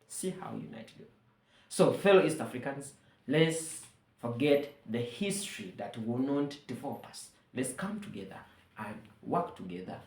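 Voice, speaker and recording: A woman's voice: rumbling low, echoey room. Earnest Ugandan woman rallying listeners. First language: Luganda. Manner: earnest, rallying, repeats phrases for emphasis. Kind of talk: persuasive monologue to camera.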